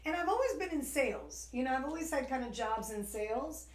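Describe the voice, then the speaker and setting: A woman's voice: high-pitched, lively intonation, fairly quick, roomy sound. A woman in her forties from New York with an East Coast American accent, hosting a live shopping stream in a chatty, rambling way with lots of fillers. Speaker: shopping channel host.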